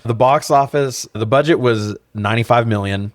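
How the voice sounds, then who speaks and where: A man's voice: medium pitch, moderate pace, no room sound, studio quality. A man co-hosting a casual comedic film review, joking and swearing freely, often laughing as he talks. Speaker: podcast co-host.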